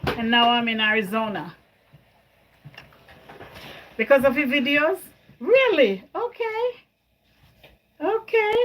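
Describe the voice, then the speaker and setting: A woman's voice: sits high, a little hiss or hum, rough recording. A woman from Jamaica hosting a casual livestream, chatting with viewers, answering their comments and often repeating her words. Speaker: livestream host.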